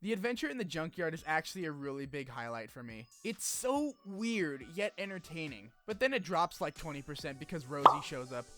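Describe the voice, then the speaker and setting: Higher-pitched man narrating a game recap. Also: brisk, few pauses. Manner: informal, swearing, animated asides. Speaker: video essay host. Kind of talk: scripted game story recap with casual asides. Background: music under the voice.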